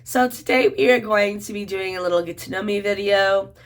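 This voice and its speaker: A woman's voice: mid-range voice, brisk. A woman in her twenties, a children's book author, talking casually to camera while a bit sick, with lots of "um" and "like" fillers. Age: 20s. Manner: chatty, many "um" and "like" fillers.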